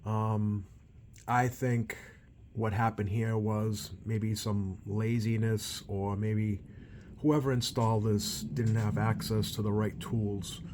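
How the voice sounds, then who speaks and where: A man giving unscripted, hands-on repair commentary as a technician, with halting, hesitant phrasing and trailing thoughts. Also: on the low side. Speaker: CB radio repair technician and channel host. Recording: steady background noise.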